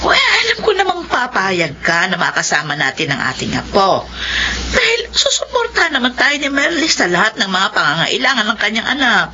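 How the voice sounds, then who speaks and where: A woman playing a doting, excitable grandmother with an effusive manner in a Filipino radio drama. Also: a little high, moderate pace. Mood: insistent. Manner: animated and effusive. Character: doting, excitable grandmother Ana, eager to babysit. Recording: dull, very noisy radio drama recording.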